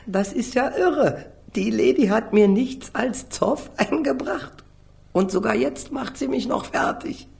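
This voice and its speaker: A man's voice: very high-pitched, slow, vocal fry, darkish tone, heavily acted. A man acting a defiant, street-tough young small-time crook in a German radio crime drama, flippant and slangy. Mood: mocking. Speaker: actor playing a defendant.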